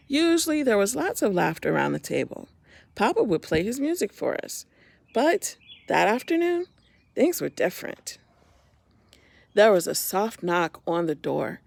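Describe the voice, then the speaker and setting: A middle-aged woman reading a picture book aloud to children, pausing to point out the pictures. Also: mid-range voice, creaky, no echo. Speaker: homeschool story reader.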